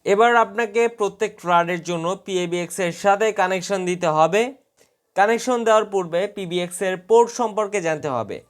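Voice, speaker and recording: A man's voice: high voice, fairly quick, smooth. A man narrating a scripted how-to tutorial, explaining step by step. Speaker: tutorial host.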